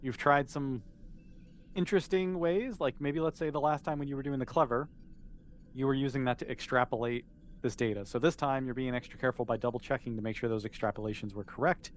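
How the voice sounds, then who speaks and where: A man's voice: medium pitch, steady tone, a little fast, a little hiss or hum. A man running a tabletop roleplaying game as game master, explaining and narrating in an informal, conversational way.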